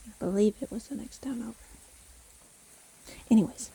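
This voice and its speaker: A woman playing a seemingly kindly, sly witch who lives alone in a forest, speaking gently and unhurriedly in a fantasy audio roleplay. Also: on the high side, normal speed, gravelly, husky, exaggerated voice. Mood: hushed storytelling. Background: rain storm ambience.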